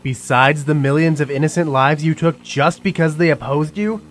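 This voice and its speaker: An actor playing a sarcastic, excitable male sidekick and guide in an audio drama. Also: on the high side, moderate pace, a little hiss or hum, natural voice. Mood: snarky.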